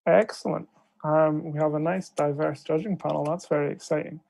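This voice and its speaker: A man's voice: on the high side, fairly even intonation, moderate pace, vocal fry, dark tone, dry recording, low-quality recording. A man chairing an online debate on a video call, giving polite, hesitant instructions full of ums. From Scotland. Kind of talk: procedural briefing on a video call. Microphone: video call microphone.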